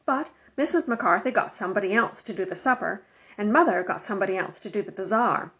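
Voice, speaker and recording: A woman narrating a children's book aloud in an expressive, scripted storytelling style. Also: mid-range voice, fairly quick. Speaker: storybook reader. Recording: dull lo-fi home recording.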